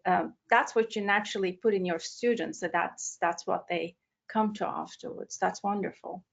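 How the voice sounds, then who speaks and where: Brief: woman interviewer, admiring, halting with fillers, slightly muffled call audio. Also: mid-range voice, creaky. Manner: warm, admiring, hesitant with many fillers. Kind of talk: conversational interview.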